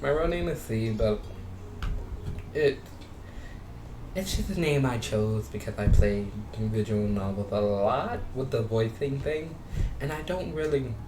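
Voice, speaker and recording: Halting male game streamer in a roomy space. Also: medium pitch, expressive, noisy background. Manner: halting, repetitive, often restarting sentences. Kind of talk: casual gameplay commentary. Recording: roomy echo with music behind.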